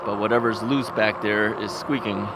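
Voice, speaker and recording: A man's voice: on the low side, fairly even intonation, moderate pace. A man vlogs while riding an ebike on suburban streets. He talks casually and rambles, chuckling often and thinking aloud into a camera mounted on the bike. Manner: rambling, chuckling, self-questioning. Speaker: vlogger. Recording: traffic and wind noise outdoors.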